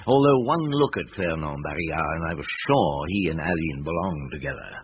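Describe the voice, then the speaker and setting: A muffled radio drama recording with faint noise. a reflective detective, musing wryly in a very deep, unhurried voice. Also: male voice, animated. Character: reflective older male detective recalling his case. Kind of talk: conversational radio drama recollection.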